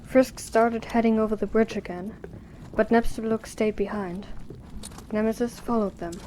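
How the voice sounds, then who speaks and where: A woman's voice: on the high side, steady tone, moderate pace, dark tone, slight background noise, poor audio. A woman narrator reading a scripted story for an audio drama.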